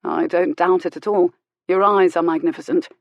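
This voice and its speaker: A woman voicing a gentle, careful young clergyman with a measured, lightly courteous manner. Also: lowish voice, steady tone, dry recording, muffled recording, lightly acted.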